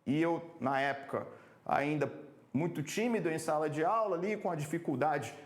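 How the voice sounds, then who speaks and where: A man from Salvador, Brazil, coaching on public speaking in a measured, emphatic way that slows down to stress key points. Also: a little high. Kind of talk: motivational talk to camera. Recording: echoey room.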